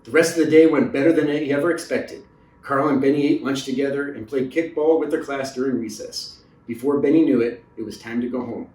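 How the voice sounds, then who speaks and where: A man's voice: mid-range voice, a little fast, a little mellow. Man reading a children's story, echoey and distant. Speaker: storybook reader. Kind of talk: read-aloud children's story narration. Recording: roomy echo, distant mic.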